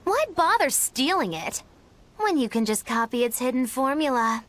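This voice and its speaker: A woman's voice: high voice, very animated, moderate pace, fairly bright tone. An actress playing a sly young female witch in a game, who lectures in a teasing, playful way.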